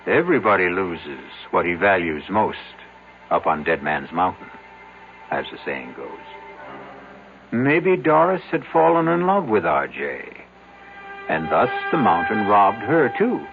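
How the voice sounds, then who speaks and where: A man's voice: low-pitched, very animated, a little slow, vocal fry, music in the background. A man hosting a radio drama with scripted dramatic narration. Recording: dull old radio broadcast.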